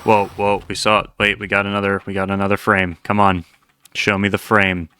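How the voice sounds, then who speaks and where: A man giving casual reaction commentary as a channel host, thinking aloud in a halting way. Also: lowish voice, monotone, noisy background.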